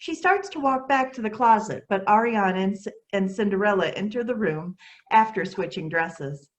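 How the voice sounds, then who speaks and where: A woman's voice: mid-range voice, lively intonation, moderate pace. A woman narrating, reading out the stage directions of a children's play.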